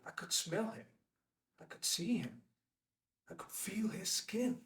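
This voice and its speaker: A man's voice: high-pitched, sing-song, a little slow, a bit bright, full character voice. A man acting the part of a charismatic bestselling mountaineer in his late thirties at a table read, speaking in a theatrical, weighty way. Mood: solemn, reverent. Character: charismatic best-selling mountaineer, late thirties, slick and self-mythologizing. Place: indoor room at a filmed table read. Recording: echoey room, distant microphone.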